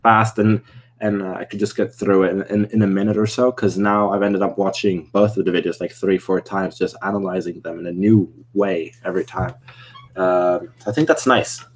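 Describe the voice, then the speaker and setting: A man's voice: sits low, flat delivery, moderate pace. A man chatting informally and easily as a guest on a casual live stream.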